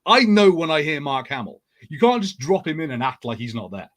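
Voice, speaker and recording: A man's voice: on the high side, big pitch swings, a little fast, fairly bright tone. A man on a fan podcast panel, chatting informally and thinking aloud in a tentative way. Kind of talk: casual fan panel chat.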